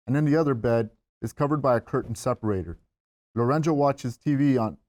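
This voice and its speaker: A man's voice: medium pitch, darkish tone. Man reading screenplay stage directions. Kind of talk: scripted narration at a table reading.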